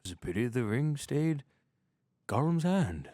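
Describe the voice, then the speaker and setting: Man putting on an old wizard, solemn, grave theatrical recitation, fantasy parody, swooping pitch. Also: very animated, a little slow, warm tone, no room sound, studio quality.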